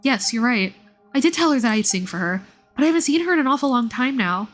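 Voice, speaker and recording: A woman voicing a gentle, innocent boy in a video game, earnest and full of wonder. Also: fairly high pitch, lively intonation, quick pace, soft background music, close to an everyday voice. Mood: wistful.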